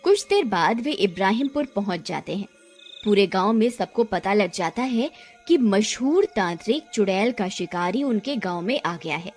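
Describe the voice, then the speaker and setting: A woman's voice: mid-range voice, expressive, moderate pace, a little mellow, soft background music. A woman narrating a scripted moral story as a storyteller.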